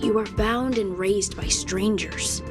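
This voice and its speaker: A mid-pitched female voice over clear background music, a grave teller of tragic legend in a game. Her delivery is formal and portentous. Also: slow. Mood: solemn, portentous. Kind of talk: dramatic game narration.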